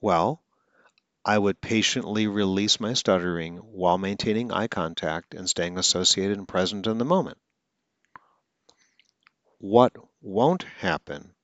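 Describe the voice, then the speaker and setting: A very deep-voiced man talks through a coaching podcast in an earnest way, with occasional stutters and restarts. The sound is dry and close, with a slightly dull, lo-fi quality. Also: a bit bright, no room sound. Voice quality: stutters now and then. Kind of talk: instructional podcast monologue.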